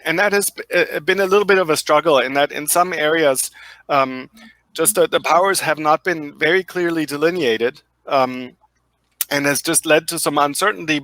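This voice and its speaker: A German-accented man answers interview questions in a thoughtful, halting way, often pausing on fillers and restarting sentences. His voice sits a bit high and sounds bright and forceful. The sound is dry and close. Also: no room sound. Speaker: guest expert.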